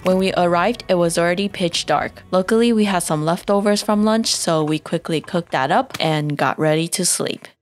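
A young adult woman calmly narrating her travel vlog in a scripted voice-over. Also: fairly low pitch, normal speed, hardly any pauses, dry recording, soft background music. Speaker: vlog host.

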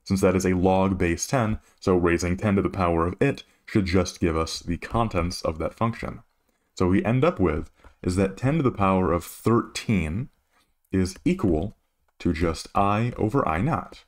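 A man's voice: low voice, moderate pace. A man working as a physics tutor, explaining a worked problem methodically, step by step. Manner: methodical, instructional.

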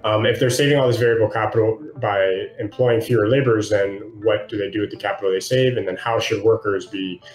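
A man in his thirties explains things casually as a discussion co-host. His voice sits on the low side. The video-call sound is distinctly roomy, with music playing behind him. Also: steady tone, brisk, few pauses. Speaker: co-host of a read-along book discussion show. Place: indoor room, remote video call.

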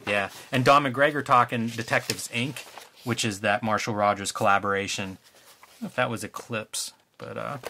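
A man in a casual show-and-tell chat about old comics, relaxed and musing, trailing off with fillers. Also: medium pitch, normal speed, a little hiss or hum. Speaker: co-host showing comics.

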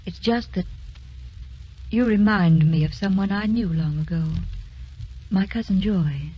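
A woman's voice: medium pitch, unhurried, husky. An actress playing a kindly, guarded, middle-aged mother in vintage radio drama style. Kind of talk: 1930s radio drama acting. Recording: dull vintage radio recording.

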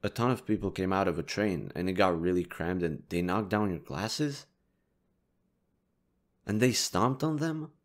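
A man playing a kind, reassuring young stranger in an intimate audio roleplay, speaking gently, considerately and a little hesitantly. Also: deep voice, unhurried. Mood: concerned, curious. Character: kind, reassuring young male stranger helping a distressed girl.

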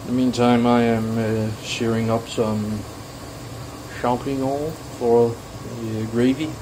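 A man casually narrating a home cooking vlog in a laid-back, somewhat halting way. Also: mid-range voice, little pitch movement, noisy background. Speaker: home cook, video host. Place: indoors in a home kitchen.

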